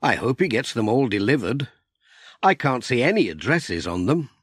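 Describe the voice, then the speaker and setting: A man's voice: mid-range voice, sing-song, moderate pace, slightly rough, no room sound, studio quality. A man voicing a kindly, chatty male village postman in a children's storybook. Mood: gently jovial.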